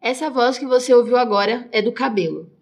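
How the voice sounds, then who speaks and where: Woman hosting a podcast in a high voice. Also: a little fast, vocal fry, a little hiss or hum. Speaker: podcast host. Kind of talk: scripted podcast narration.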